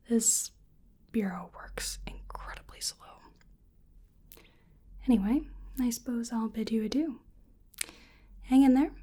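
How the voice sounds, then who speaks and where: A woman speaks in a soft, breathy ASMR style, mostly in whispers. Her delivery is slow and gentle, with a hesitant murmur aimed right at the listener. The tone is dark and mellow, though pitched a touch high for all its softness. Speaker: ASMR creator and roleplayer. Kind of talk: soft-spoken ASMR roleplay, largely whispered.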